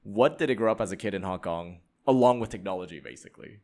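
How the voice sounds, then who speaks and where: A man's voice: fairly low pitch, quick pace, a little mellow. A man raised in Canada hosting a podcast, asking rambling questions full of "like" in a casual chat. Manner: rambling, heavy use of "like". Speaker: podcast host.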